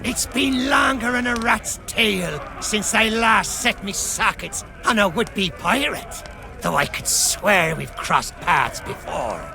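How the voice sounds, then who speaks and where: A man playing a boastful talking pirate skull in a video game, with theatrical, drawn-out pirate speech. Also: sits high, animated, vocal fry, over music, exaggerated voice. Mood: hearty. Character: boastful pirate skulls, hammy old sea dogs. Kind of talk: video game character acting.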